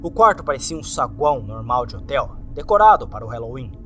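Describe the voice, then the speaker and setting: A man's voice: mid-range voice, sing-song, fast, a bit bright. A man with a Brazilian accent reading a scripted first-person horror story with dramatic delivery, as narrator. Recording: background music under the voice. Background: music.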